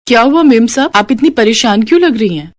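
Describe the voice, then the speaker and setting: A woman's voice: on the high side, expressive, a little fast, voice pushed up. A woman voicing a poor, earnest and polite young woman in a cartoon story. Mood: polite, concerned. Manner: polite, deferential. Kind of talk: acted dialogue in a Hindi cartoon story.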